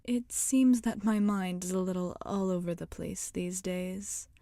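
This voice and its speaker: Woman playing a rambling witch shopkeeper, soft ASMR whispering, creaky voice, close dry sound, motherly teasing. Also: lowish voice, measured pace, dry recording. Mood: gently welcoming. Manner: soft-spoken, often dropping into whispers. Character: wry, rambling, rebellious woodland witch shopkeeper. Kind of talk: ASMR roleplay.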